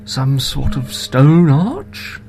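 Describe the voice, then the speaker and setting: An actor playing an earnest, impatient human adventurer with formal, archaic phrasing in a fantasy audio drama. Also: male voice, medium pitch, very animated, very slow, vocal fry, background noise, heavily acted. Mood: earnest, urgent. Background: music.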